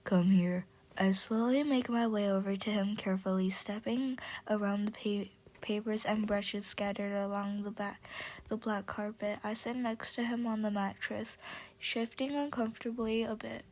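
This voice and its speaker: A woman reading a fan-fiction story aloud with a hoarse voice, stumbling over words now and then. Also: medium pitch, little pitch movement, a little mellow, poor audio. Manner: slow, stumbling, chatty. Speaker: audiobook reader.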